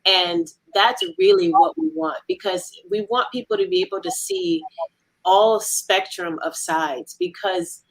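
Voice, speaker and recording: A woman being interviewed about her team's work, talking casually in earnest, halting phrases. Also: medium pitch, sing-song, low-quality recording. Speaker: audio drama team member being interviewed.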